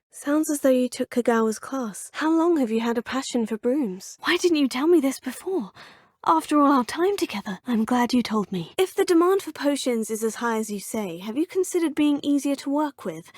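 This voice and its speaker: A woman voicing a poised, curious young witch student, speaking in polite, formal, measured game dialogue with a husky tone. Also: sits high, animated, a little mellow, dry recording, studio quality. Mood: composed. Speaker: player character. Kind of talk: video game voice lines.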